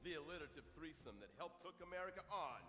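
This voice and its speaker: A man's voice: a little high, expressive, fast talker, sharp tone. An actor playing a pompous, sardonic male true-crime TV host, narrating a scripted, sensationalist documentary in a game. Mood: dramatic, sardonic. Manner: melodramatic, sardonic. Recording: echoey reverberant hall, music underneath.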